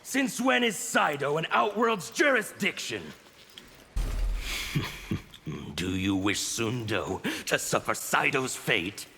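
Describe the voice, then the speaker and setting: An actor playing a mocking, fanatical anarchist villain in a fighting game, taunting and defiant. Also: male voice, mid-range voice, sing-song, slow pace, vocal fry, pushed and bright, slight background noise, exaggerated voice. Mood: gleeful taunting. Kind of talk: scripted fighting game dialogue.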